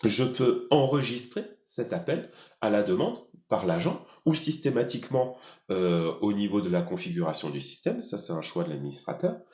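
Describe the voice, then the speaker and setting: A man giving an unscripted live software demonstration, explaining step by step with a hesitant, halting delivery. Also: fairly low pitch, very animated. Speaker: software demo presenter. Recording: echoey room, slightly dull sound.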